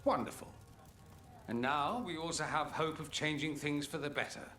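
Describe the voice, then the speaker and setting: An actor playing a suave, witty mage rebel in a video game, speaking with wry, theatrical eloquence. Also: male voice, a little high, normal speed, bright, forceful tone, a little hiss or hum. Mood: warm, pleased. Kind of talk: video game cutscene acting.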